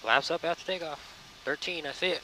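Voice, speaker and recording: A man's voice: on the high side, fairly quick. A man flying an airliner, making short callouts and brief remarks to his crewmate in the cockpit. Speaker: airline pilot flying the plane. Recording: cockpit with engine and wind noise.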